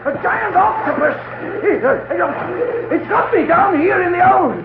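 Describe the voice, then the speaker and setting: An actor playing a terrified ship's bosun, shrieking frantically and melodramatically for help in an old-time radio drama. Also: male voice, extremely high pitch, a little slow, gravelly, heavily acted. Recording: echoey, muffled, very noisy vintage radio.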